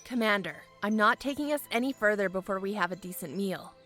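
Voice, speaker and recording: A woman's voice: mid-range voice, animated, a little fast, bright, forceful tone, faint music behind. A woman playing a weary, stubborn airship pilot and mechanic in a fantasy audio drama, plain-spoken and insistent.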